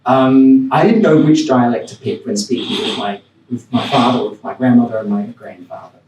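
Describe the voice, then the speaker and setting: A man's voice: medium pitch, moderate pace, darkish tone, recorded from a distance, slight background noise. Man speaking in an echoey lecture room. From Australia. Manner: personal, rambling with restarts and chuckles. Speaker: panel speaker. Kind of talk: academic talk.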